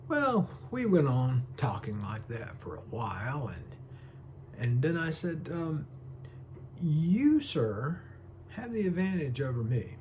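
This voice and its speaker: A man plays a gentle, dreamy eccentric from a small town in a theatrical film monologue. He is courteous and folksy, rambling slowly and hesitantly, with a pitch that rises and dips freely. A faint hum sits behind the voice. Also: big pitch swings. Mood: genial, reminiscing.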